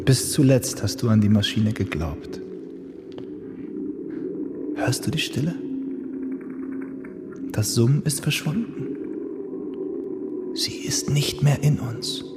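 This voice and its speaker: An actor playing a brooding man who muses in a solemn, hushed, lingering radio play monologue. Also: mid-range voice, slow, long pauses, close to an everyday voice. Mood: tender, mournful. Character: brooding man musing over sleeping humanity. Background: music.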